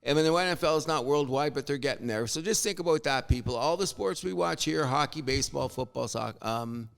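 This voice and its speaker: Middle-aged canadian guy ranting about sports, kinda high gravelly voice, gets worked up. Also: brisk. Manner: animated, exasperated, swears casually. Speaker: sports podcast host. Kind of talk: opinionated sports rant.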